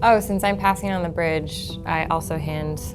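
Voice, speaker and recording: A woman's voice: deep voice, steady tone, normal speed. A woman playing a pilot in a casual tabletop roleplaying game. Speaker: tabletop player as pilot character. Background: clear background music.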